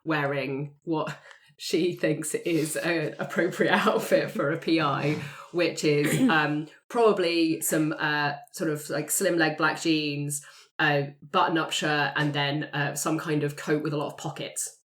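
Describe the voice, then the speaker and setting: A woman's voice: low voice, fairly even intonation, unhurried, a bit bright. A woman player improvising scene description in a roleplay podcast, informal and playful, thinking aloud.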